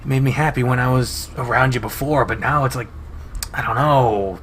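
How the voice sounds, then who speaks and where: A man voicing a large, blunt, crude male wolf who talks in a gruff mumble. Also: mid-range voice, normal speed, a bit bright, a little hiss or hum, lightly acted. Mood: tender.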